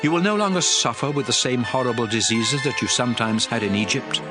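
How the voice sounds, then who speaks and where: A man's voice: medium pitch, fairly quick, close to an everyday voice. An actor playing a stern, fervent prophet who addresses his people in a solemn, emphatic, declamatory dramatized scripture reading. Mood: fervent, exalting. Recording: music under the voice.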